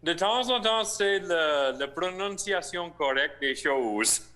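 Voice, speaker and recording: A priest with an American accent and English as his first language, answering interview questions slowly and haltingly, with restarts. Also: high-pitched, animated, bright, forceful tone. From United States (Missouri). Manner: slow, halting, with restarts and searched-for words. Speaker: rector of a shrine, priest.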